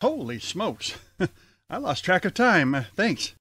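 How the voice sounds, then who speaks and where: A man's voice: high-pitched, very animated, slow pace, noisy background. A man hosting an audio show, with scripted announcing and comic banter. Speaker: host.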